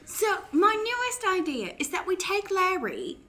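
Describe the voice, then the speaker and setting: A woman plays an eager young schemer in a comedic radio play, very lively and bright. She starts and restarts her pitches, high and hopeful, always seeking mother's approval. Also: unhurried, full character voice. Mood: scheming.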